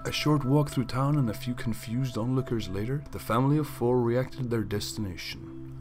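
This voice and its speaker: A man narrating a fan story in a lively, expressive reading voice. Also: mid-range voice, normal speed, music in the background. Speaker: fanfic reader.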